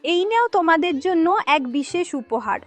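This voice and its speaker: A woman voicing a kindly young magical fairy in a cartoon story, gentle, sweet and reassuring. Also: extremely high pitch, expressive, moderate pace, over music. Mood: grateful, generous. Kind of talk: cartoon moral story acting.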